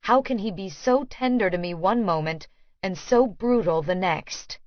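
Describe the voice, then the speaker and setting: Slow-paced female audiobook narrator. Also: medium pitch, no room sound, muffled recording. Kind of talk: scripted first-person fiction narration.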